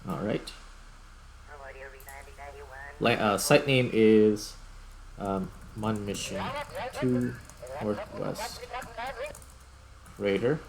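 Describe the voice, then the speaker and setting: A man's voice: on the low side, extremely slow, pauses often, crowd noise, rough recording. A man giving casual video game commentary as host, thinking aloud in short fragments. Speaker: gaming YouTuber, host. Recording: clear background noise.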